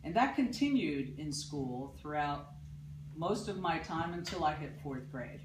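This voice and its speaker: Loud new jersey-raised woman telling a story live, room hum underneath, lots of echo. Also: low-pitched, expressive, unhurried. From Paterson, New Jersey. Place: theatre stage with live audience. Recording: roomy, distant mic, audible ambience.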